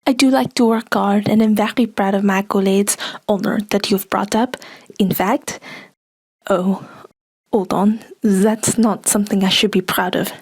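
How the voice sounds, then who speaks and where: A woman's voice: medium pitch, steady tone, moderate pace, breathy edge. A woman playing an eager, naive and clumsy female assassin in an audio drama, earnest and dutiful.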